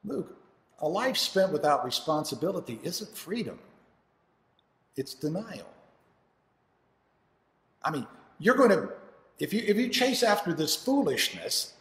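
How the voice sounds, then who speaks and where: An actor, a man, playing an earnest, troubled farmer father telling his story in a halting dramatic monologue. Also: high voice, sing-song, fairly bright tone, pitched above the performer's own voice, heavily acted. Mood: pleading, stern. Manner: halting, with dramatic pauses and restarts. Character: anguished, earnest farmer father of two sons. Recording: roomy, echoing hall with long reverb.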